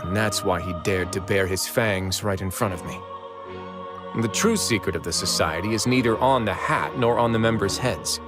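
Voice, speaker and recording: An actor playing a cool, shrewd, commanding duke in a video game, speaking in a measured, deliberate way. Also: male voice, fairly low pitch, close to an everyday voice. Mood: brooding, analytical. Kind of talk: animated video game voice acting. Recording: game music playing behind.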